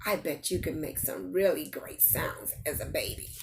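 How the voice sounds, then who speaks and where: An older woman reading a picture book aloud to young children, playful and expressive, often putting questions straight to her listeners. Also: low voice, moderate pace, background noise. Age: older, grandparent. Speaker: storytime host reading to children.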